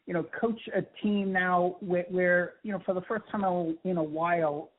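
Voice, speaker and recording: A man, a sports reporter, asking a coach long, halting questions with many restarts on a conference call. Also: high voice, steady tone. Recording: tinny phone line.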